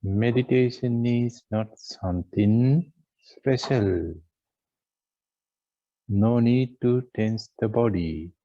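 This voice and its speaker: A man guiding a meditation as a teacher, speaking in short, measured phrases with long pauses between them. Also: mid-range voice, mellow, no room sound, muffled recording. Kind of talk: guided meditation instruction.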